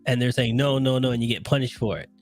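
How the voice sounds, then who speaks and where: A man's voice: medium pitch, fast, faint music behind. A man hosting a conversational interview, thoughtfully summing up and restating what his guest has told him. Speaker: talk show host, interviewer.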